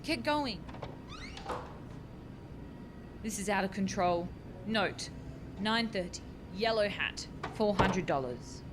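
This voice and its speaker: A woman's voice: expressive, measured pace, lightly acted. A woman playing an anxious, bossy scientist in a radio farce, exclaiming and cautioning in exasperation. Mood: exasperated. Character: anxious, bossy female scientist juggling time-travel experiments. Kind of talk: radio play farce acting. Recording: clear background noise with low rumble.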